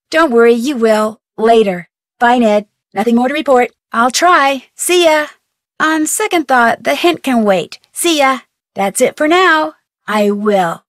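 Young game sleuth, inquisitive and courteous, slightly high voice, dry studio read. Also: female voice, fairly bright tone, no room sound. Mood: friendly. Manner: inquisitive, earnest questioning. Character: curious young female amateur detective, polite and inquisitive. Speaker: game protagonist. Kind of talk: recorded game dialogue lines. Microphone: close studio mic.

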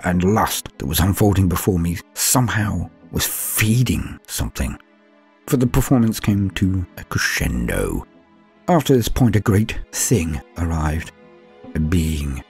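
Deep-voiced man narrating a grim horror tale. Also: husky. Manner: grave, measured first-person storytelling with hushed low drops and rising swells. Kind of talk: dramatic horror story reading. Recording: soft music bed underneath.

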